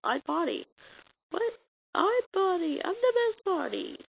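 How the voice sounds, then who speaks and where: A woman reading a comic dub as an amateur voice actor, acting out the dialogue in a halting, stumbling way on a muffled, noisy recording. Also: extremely high pitch, very animated, creaky, dry recording. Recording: muffled lo-fi recording with noise and clicks.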